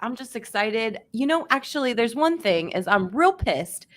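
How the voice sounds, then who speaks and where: A woman's voice: a little high, big pitch swings, moderate pace. A woman chatting casually as a sports podcast panelist, blunt and sardonic, with some casual swearing.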